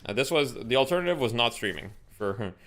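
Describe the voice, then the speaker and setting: A young man streaming live, chatting casually with his viewers and coaching a team over voice chat, in a relaxed, talkative way. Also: mid-range voice, fairly quick. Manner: relaxed, talkative, laughs between lines. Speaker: streamer coaching tournament teams.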